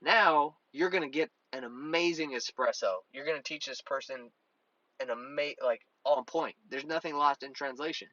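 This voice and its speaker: A man's voice: on the high side, moderate pace, pushed and bright, no echo, muffled recording. A man who hosts a podcast as a strength coach, explaining training in a casual, conversational way, often restarting his sentences. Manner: conversational, many restarts, hand-waving examples.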